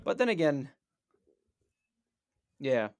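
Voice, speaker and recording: A man's voice: fairly high pitch, sing-song, slow, a little mellow, dry recording. A man co-hosting a podcast in casual chat, playful and rambling, often restarting his sentences. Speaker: podcast co-host.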